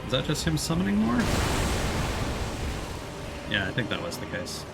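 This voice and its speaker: A man's voice: medium pitch, wildly swooping pitch, moderate pace. A man giving casual let's play commentary as a game streamer, musing and thinking aloud. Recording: clear background noise behind voice.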